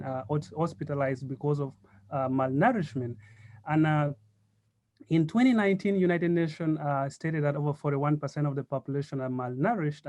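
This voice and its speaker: A man from Uganda with a Ugandan accent gives a prepared team presentation in a formal, measured way. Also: fairly high pitch, a little mellow, dry recording.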